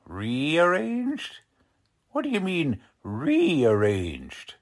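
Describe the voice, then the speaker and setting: A gruff, streetwise lieutenant from a 1940s radio crime drama, acted by a man. He drawls out tough-cop slang slowly and bluntly, with very animated intonation. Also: fairly high pitch, heavily acted. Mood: impatient, gruff.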